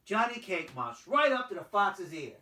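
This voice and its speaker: A storyteller narrating a scripted folktale with theatrical, deliberate phrasing, as for children. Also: mid-range voice, very animated, sharp tone, recorded from a distance. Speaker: puppet show storyteller. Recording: roomy, voice away from microphone.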